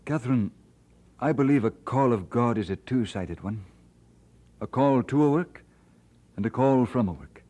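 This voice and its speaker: An actor playing an earnest, conscientious male pastor in an audio drama, speaking in a measured, deliberate way. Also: mid-range voice, animated, warm tone, natural voice. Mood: grave, resolved. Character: earnest, conscientious male pastor torn over his calling. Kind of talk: dramatised radio-play acting.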